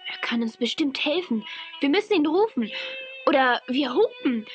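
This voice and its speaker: A woman's voice: sits high, big pitch swings, normal speed, faint music behind. A woman playing an anxious, suspicious, outspoken girl on safari in a 1980s children's radio play. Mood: urgent. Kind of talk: 1980s German children's audio drama acting. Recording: noisy old cassette radio play.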